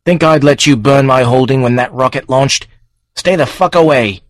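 A man voicing a tough, blunt man with a gun, gruff and curt. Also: medium pitch, normal speed, pushed and bright, no room sound, voice pushed up, close to an everyday voice. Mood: hostile.

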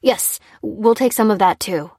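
A woman's voice: medium pitch, a little slow, darkish tone, breathy edge, dry recording, clean studio sound. A woman voicing a polite, hesitant, persistent male customer in an audiobook. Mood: placating, strained. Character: placating, persistent man haggling as a customer.

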